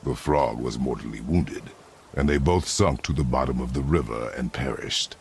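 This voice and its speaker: Casting: stern warrior father in a game drama, extremely low gravelly register, deadpan and formal, faint noise behind. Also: normal speed. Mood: grave storytelling. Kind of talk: dramatic video game acting.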